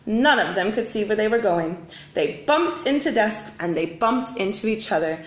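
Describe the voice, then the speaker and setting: A woman reading a children's storybook aloud like a teacher, with expressive, deliberate phrasing. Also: medium pitch. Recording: roomy, echoing indoor sound.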